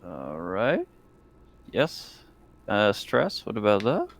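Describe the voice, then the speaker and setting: A man's voice: lowish voice, wildly swooping pitch, slow, creaky. A man playing a tabletop roleplaying game, chatting casually and swearing freely.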